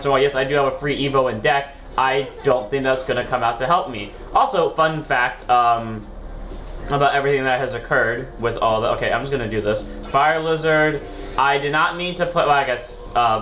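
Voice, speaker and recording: A man's voice: mid-range voice, sharp tone, slight background noise. A man with a sore throat who sounds sick, casually rambling through commentary on his own card game match, correcting himself often and swearing now and then. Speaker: gaming video host. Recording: echoey room, faint background music.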